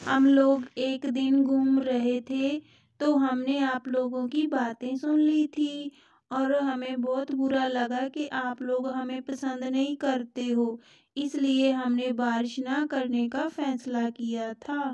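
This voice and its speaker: A woman voicing a gentle, easily hurt rain cloud in a children's cartoon, with a plaintive, simple manner. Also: high voice, little pitch movement, slow, highs cut off.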